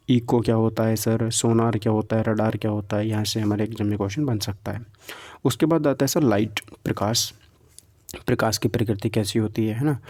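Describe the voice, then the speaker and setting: Mellow male teacher. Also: mid-range voice. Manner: instructive, mixes in English terms, frequent check-in tags. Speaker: exam-prep physics teacher. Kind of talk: informal lecture going through a syllabus.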